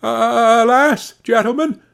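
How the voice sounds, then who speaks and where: Storybook part: lost old man acted by a male narrator, anxious, stammering, pitched high and wavering. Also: big pitch swings, slow, creaky, husky, heavily acted. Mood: dismayed, pleading. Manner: hesitant, stammering, plaintive.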